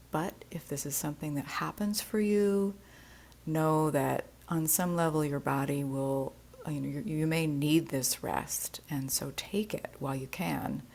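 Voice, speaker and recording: Slow, low-pitched woman guiding relaxation. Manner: soothing, gentle, unhurried instruction. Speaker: yoga nidra teacher. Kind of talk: guided relaxation meditation.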